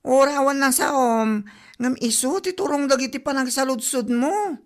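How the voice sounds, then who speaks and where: A woman's voice: sits high, moderate pace, natural voice. A woman playing a gossipy, exasperated village neighbour in an Ilocano comedy drama, scolding and exclaiming theatrically. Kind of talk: comedy radio drama acting.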